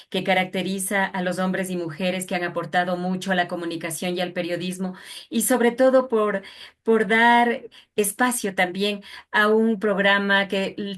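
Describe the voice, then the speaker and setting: A woman hosting an interview in a formal, respectful style. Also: on the low side. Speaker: interviewer, program host.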